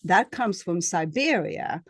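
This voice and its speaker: A woman with a Swedish accent, a healer being interviewed, who speaks in a halting way and searches for her words. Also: lowish voice, animated, dry recording, clean studio sound. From Sweden. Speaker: guest, shamanic healer and astrologer. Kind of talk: casual interview.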